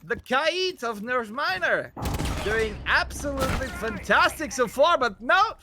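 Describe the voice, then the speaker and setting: An Austrian man, a native German speaker, co-casting a live esports match in English, casual and profane, rising into loud hype calls. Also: extremely high pitch, very animated, measured pace, noisy background. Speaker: esports co-caster. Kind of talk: live game commentary.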